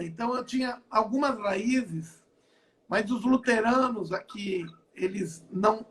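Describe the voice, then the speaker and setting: An older man from Brazil, a pastor giving his testimony in an online interview from home, repeating and restarting phrases as he talks. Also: high-pitched. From southern Brazil. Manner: repetitive, stacks and restarts phrases. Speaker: apostle and pastor.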